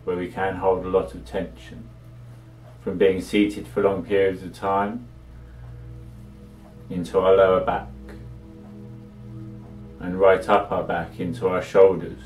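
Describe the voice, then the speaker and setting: Deep, slow-voiced man guiding meditation. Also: flat delivery, a little mellow, faint music behind. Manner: measured, soothing delivery with long pauses. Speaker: meditation guide. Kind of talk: guided meditation, slow spoken instruction. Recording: echoey, distant from the microphone.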